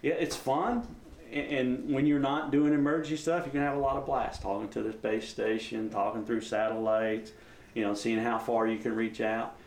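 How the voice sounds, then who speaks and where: A man of middling pitch gives a casual interview as a radio volunteer. His talk is informal and plainspoken, and the room echoes around him. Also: level tone, moderate pace, a little mellow, a little hiss or hum. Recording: roomy, echoing interview recording.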